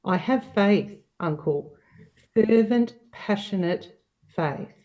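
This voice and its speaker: A woman playing an earnest, patient, sorrowful young woman in an amateur play reading, speaking in a measured way. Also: mid-range voice, lively intonation, dark tone. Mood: consoling, fervent. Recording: dull, distant microphone.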